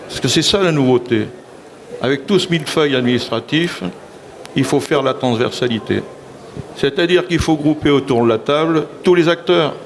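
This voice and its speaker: Man, elected official, panel talk, assertive, middle pitch, faint background hiss. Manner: assertive, with repeated "si vous voulez".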